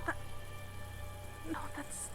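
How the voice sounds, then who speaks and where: A woman playing an anxious, frazzled, gently motherly townswoman in an improvised horror roleplay. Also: extremely slow. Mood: fretful. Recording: music behind the voice.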